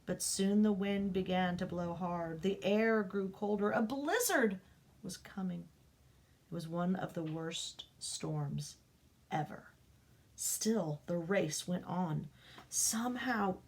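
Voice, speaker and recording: A woman reading a children's picture book aloud, expressive, rising up and dropping to a hush for the dramatic parts. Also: fairly low pitch, creaky. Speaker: storybook reader.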